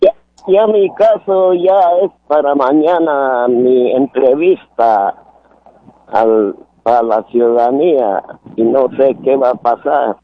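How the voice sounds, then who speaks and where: A man from Guatemala calling in to a radio show, speaking haltingly about his case. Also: medium pitch, no echo. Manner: hesitant, halting. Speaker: caller. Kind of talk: phone call to radio show. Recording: tinny phone line.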